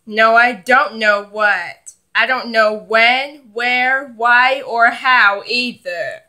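A woman's voice: on the high side, drawn-out words, pushed and bright, heavily acted, low-quality recording. A woman voicing a bossy, selfish older sister, a young girl, in a children's doll play skit. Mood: exasperated, firm. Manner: bossy, dismissive.

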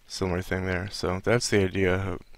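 A sleepy man giving a casual, improvised walkthrough, hesitant and low-key as he thinks aloud. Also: little pitch movement, normal speed, no echo. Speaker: audio editing tutorial presenter.

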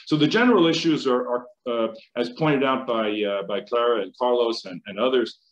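A man's voice: mid-range voice, moderate pace, dull audio. A middle-aged American man speaking as a panelist on a video call, hesitant with many fillers and restarts. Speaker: panelist, chemical engineering professor. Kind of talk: conference panel remarks. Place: home office. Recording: roomy video call audio.

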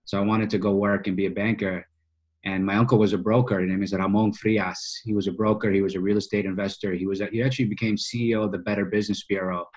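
A man in his early thirties from Boston talks as an interview guest. His voice sits low with limited melodic movement. He tells his story informally, with plenty of slang. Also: flat delivery, quick pace, darkish tone. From United States, Boston. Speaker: podcast guest, financial coach. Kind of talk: casual conversational storytelling. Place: small office, indoors, on a video call.

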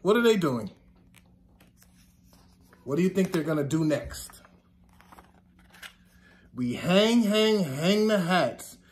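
A man in his thirties, a teacher reading a children's picture book aloud in a deliberate sing-song way, stressing repeated words. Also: high-pitched, lively intonation, slight background noise. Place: classroom, indoors.

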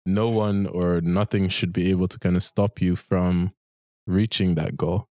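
Low-voiced young man reflecting casually. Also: steady tone, unhurried, warm tone, no echo, clean studio sound. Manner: informal, tags points with "right?" and "like". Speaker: talk show host. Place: small barbershop, indoors. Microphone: handheld microphone.

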